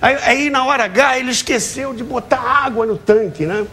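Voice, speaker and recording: A man's voice: extremely high pitch, normal speed, sharp tone. A Brazilian man talking as a talk show guest, telling anecdotes in a jovial way and acting out dialogue as he goes. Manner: jovial, acts out quoted dialogue. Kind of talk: animated anecdote telling to a host. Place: TV studio with audience. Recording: clearly noisy TV studio audio.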